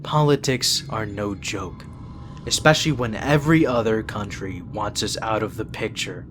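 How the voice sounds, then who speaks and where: A man's voice: on the low side, moderate pace, background noise, close to an everyday voice. A man playing a principled, exasperated younger-brother vampire prince in an audio roleplay, speaking in an earnest, reproachful way. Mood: bitter, reproachful.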